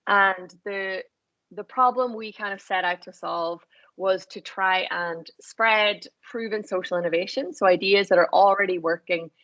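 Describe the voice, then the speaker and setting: A woman's voice: mid-range voice, a little slow, a bit bright, lo-fi sound. A woman from Ireland with an Irish accent, a nonprofit co-founder explaining her organisation in a conversational way over a video call.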